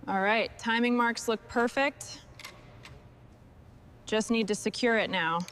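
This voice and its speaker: Higher-voiced woman giving short workshop instructions. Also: takes it slowly, fairly bright tone, slight background noise. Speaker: team boss in a truck restoration workshop.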